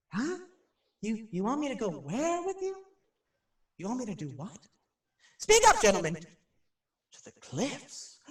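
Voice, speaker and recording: Echoey, unravelling radio personality, frightened, rambling, high swooping pitch, radio drama. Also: male voice, distant mic, full character voice. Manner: rambling, excitable, talking to unseen figures. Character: frightened, hysterical male radio personality unravelling in a haunted house. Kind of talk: radio play acting. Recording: roomy echo, away from the microphone.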